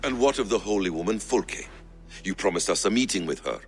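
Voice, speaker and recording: An actor playing an enigmatic, probing warrior in a video game, measured and inquiring. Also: male voice, low-pitched, expressive, soft background music. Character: quiet, probing, enigmatic warrior seeking knowledge. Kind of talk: video game cutscene acting.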